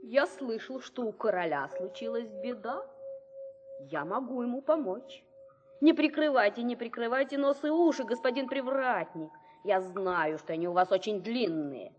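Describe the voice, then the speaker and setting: Woman doing a little male hero with a high, sure voice; faint music behind. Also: lively intonation, moderate pace, natural voice. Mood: sly. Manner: confident, reproachful. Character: small clever male hero, bold. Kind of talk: audio fairy tale acting.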